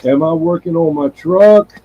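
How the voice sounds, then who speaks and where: A man's voice: fairly high pitch, measured pace, crowd noise. A man in casual, rambling, folksy conversation, hosting a CB radio live stream. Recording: dull, lo-fi CB radio sound.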